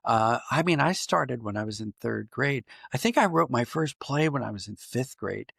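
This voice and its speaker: A man answering interview questions conversationally as a writer, thoughtful and halting, circling back to rephrase as he goes. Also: medium pitch, animated, vocal fry, fairly bright tone, breathy edge, no echo, studio quality. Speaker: podcast guest, writer and dramatist.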